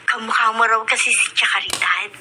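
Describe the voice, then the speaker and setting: A woman's voice: on the high side, fairly even intonation, fairly quick, natural voice. A young woman playing a perky, teasing cousin and confidante in a Filipino radio drama, speaking expressively. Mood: hesitant, sympathetic. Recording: noisy radio drama recording.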